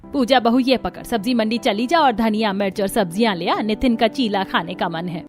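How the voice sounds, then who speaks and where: A woman's voice: medium pitch, moderate pace, soft background music, lightly acted. A woman voicing a stingy, bossy middle-aged mother-in-law in a family cartoon, commanding and dismissive. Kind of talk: Hindi family cartoon dubbing.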